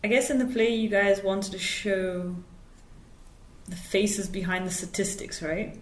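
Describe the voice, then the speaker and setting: A woman's voice: medium pitch, steady tone, measured pace. A woman, a student interviewer, asking probing follow-up questions and checking her understanding. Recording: echoey room with faint noise.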